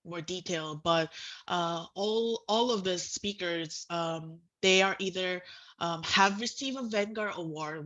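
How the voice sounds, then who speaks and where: A woman moderating a student panel in a semi-formal way, with halting, informal phrasing, speaking on an online video call. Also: fairly low pitch, fairly bright tone, poor audio. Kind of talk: semi-formal presenting and interviewing.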